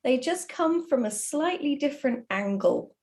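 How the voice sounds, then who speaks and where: A woman's voice: sits high, lively intonation, normal speed, a little mellow. A woman chatting casually as a podcast guest, talkative and ready with her own opinions. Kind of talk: casual chat.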